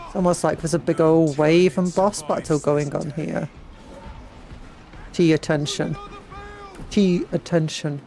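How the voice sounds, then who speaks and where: A chatty British woman commentates her game in a playful, excitable way. Game music plays faintly behind her voice. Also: low-pitched, a little slow, vocal fry, pauses often. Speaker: streamer. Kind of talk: casual gameplay commentary.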